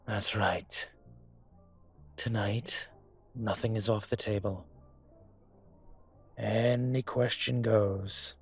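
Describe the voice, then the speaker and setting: A man acts out a wealthy, gentlemanly dragon mafia boss in a romantic audio roleplay. His voice is husky and on the deep side. He takes his time over every phrase, intimate and gently teasing, as an older suitor would. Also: fairly even intonation, full character voice. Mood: smooth, inviting. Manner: unhurried, courtly, teasing, intimate. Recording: music behind the voice.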